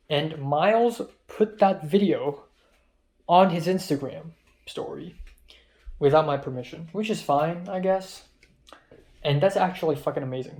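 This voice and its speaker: Young guy vlogging, kinda high voice, rambly and excitable, swears a lot. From Hong Kong. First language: Cantonese. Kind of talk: casual diary vlog.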